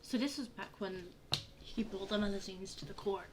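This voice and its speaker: A woman's voice: medium pitch, normal speed, background noise. A woman giving casual reaction commentary while playing a game. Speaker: gaming video host.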